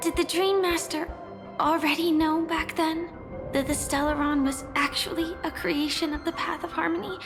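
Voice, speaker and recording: A woman's voice: extremely high pitch, steady tone, moderate pace, music in the background. A woman playing an earnest young woman in a game, with a searching, inquiring manner. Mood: earnest, questioning. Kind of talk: dramatic scripted game dialogue.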